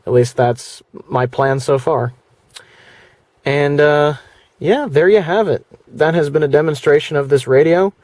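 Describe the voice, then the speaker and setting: A man's voice: fairly high pitch, a little slow, a little mellow, no room sound. A man talking in a casual, plainspoken, rambling way, like someone presenting a product review vlog. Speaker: video presenter.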